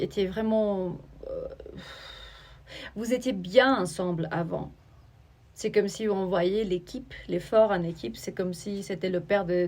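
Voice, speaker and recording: A woman giving a spiritual oracle card reading, talking straight to the viewer in a searching, halting way. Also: mid-range voice, darkish tone.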